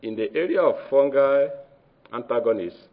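A man from Nigeria with a Nigerian accent, giving an academic research presentation in a hesitant way, with many fillers and halting phrases. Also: medium pitch, expressive. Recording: dull lo-fi recording.

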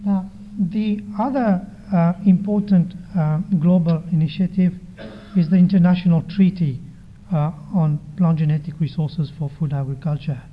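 A soft-spoken man giving a formal conference presentation into a microphone, measured and pausing often with hesitations. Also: a little high, steady tone. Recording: dull lo-fi recording.

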